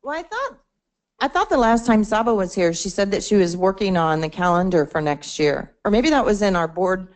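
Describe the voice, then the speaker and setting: A woman's voice: mid-range voice, animated, normal speed. A woman presenting to a membership meeting, explaining a support portal conversationally, with fillers and restarts. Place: meeting room.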